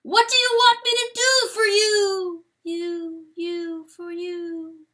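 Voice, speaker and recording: A woman voicing a fervent, desperate male rooster in a storybook reading, calling out in a loud crow. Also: very high voice, flat delivery, slow pace, voice pushed up, full character voice. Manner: loud bugle-like crowing cry.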